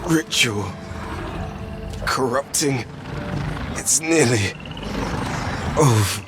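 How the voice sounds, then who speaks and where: A dying scout who gasps out confused fragments at a crawl. His halting voice sits over game music. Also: mid-range voice, animated. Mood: dazed. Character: dying male scout, dazed and broken. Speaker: game character.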